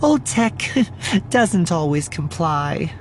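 A man voicing a brisk, polished woman news broadcaster with a practiced, breezy, commanding manner in an audiobook. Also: on the high side, huge pitch swings, a little hiss or hum, pitched above the performer's own voice. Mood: apologetic. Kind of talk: audiobook character voice.

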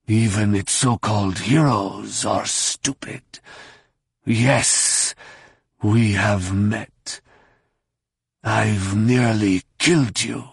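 Alien villain, very raspy growl, drawn-out delivery, contemptuous, menacing, audiobook. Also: male voice, on the low side, no room sound, studio quality, heavily acted. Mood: cold contempt. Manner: slow, menacing, sneering.

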